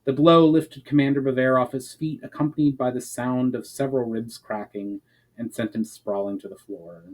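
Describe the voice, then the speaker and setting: A man's voice: medium pitch, steady tone, moderate pace, rough recording. Male storyteller for fantasy fiction; mid-range voice, earnest dramatic delivery, phrases that drop at the ends. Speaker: author reading own story chapter aloud.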